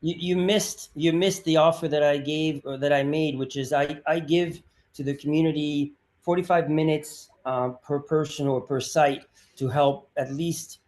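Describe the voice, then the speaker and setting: A man with a somewhat high voice speaking on a video call, casual and a little stumbling. He restarts his phrases as he lays out an offer to help. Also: fairly even intonation, normal speed, a little mellow. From France. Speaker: meeting participant offering help.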